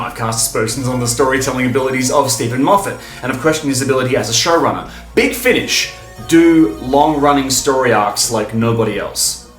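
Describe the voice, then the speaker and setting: A man hosting a fan video, talking in an enthusiastic, informal, emphatic way, stopping and starting with pauses for breath. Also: mid-range voice, a bit bright, roomy sound. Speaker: video host and fan reviewer.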